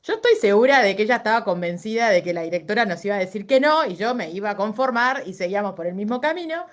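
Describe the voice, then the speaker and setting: A woman's voice: mid-range voice, expressive, fast talker. Uruguayan woman telling a personal story. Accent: Uruguayan Spanish. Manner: conversational, with frequent fillers and self-corrections. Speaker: guest. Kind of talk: casual interview, personal storytelling.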